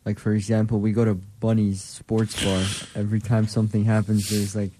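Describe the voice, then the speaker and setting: A young man speaking as a guest on a radio talk show, laid-back and flat. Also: on the low side, brisk, noisy background. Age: young adult.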